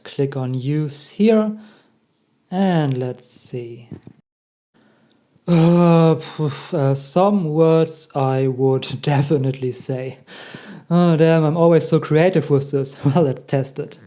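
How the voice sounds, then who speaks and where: A young man with a German accent, hosting a casual software tutorial and chuckling at the results. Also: fairly high pitch, slow pace. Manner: chatty, laughs often at results. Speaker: tech tutorial host. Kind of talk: casual screen-recorded tutorial.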